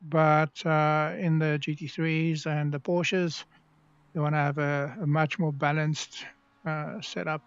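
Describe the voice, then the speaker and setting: A man's voice: fairly high pitch, level tone, clear, resonant tone, no echo, dull audio. A man, streaming a sim race and casually explaining how the car handles while chatting with viewers. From South Africa. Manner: easygoing, explains car handling between pauses. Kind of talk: live-stream commentary while racing.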